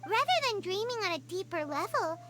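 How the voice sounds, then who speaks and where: A woman voicing a tiny, excitable floating girl companion who talks about herself in the third person. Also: very high voice, fairly quick, exaggerated voice. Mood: thoughtful. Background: game music.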